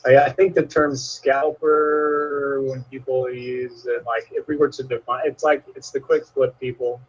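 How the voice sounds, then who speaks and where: Husky-voiced man on lo-fi audio. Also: mid-range voice, flat delivery, unhurried. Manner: laid-back, agreeable, filler-heavy. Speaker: host. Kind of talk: casual conversational interview.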